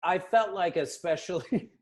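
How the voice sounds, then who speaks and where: A man's voice: high-pitched, unhurried, darkish tone. A middle-aged man with an American accent in a casual, reflective conversation as the interviewer, thinking aloud informally.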